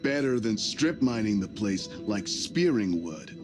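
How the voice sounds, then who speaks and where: A man playing a calm, self-assured space company tycoon in a sci-fi game, speaking in a reflective, unhurried way. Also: fairly low pitch. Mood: wistful, confident. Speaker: voice actor in a video game. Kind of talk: cinematic sci-fi game acting. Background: music.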